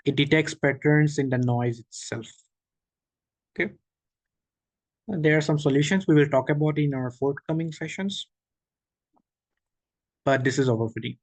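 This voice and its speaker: A man giving an online machine learning workshop, explaining slowly with frequent okays, restarts and questions to the audience. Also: a little high, a little mellow, no echo. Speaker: workshop presenter. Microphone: video call.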